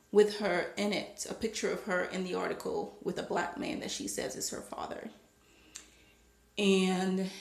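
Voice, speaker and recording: A woman from Liberia giving her opinion in a casual monologue to camera, becoming more animated as she stresses her points. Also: medium pitch, echoey room. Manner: opinionated, rising to animated emphasis. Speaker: vlogger.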